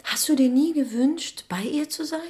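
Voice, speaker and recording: A woman's voice: high voice, moderate pace, dark tone, natural voice. An actress playing a young adult daughter who probes and reproaches her mother in a radio drama. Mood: reproachful, probing. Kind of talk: dramatic radio play dialogue.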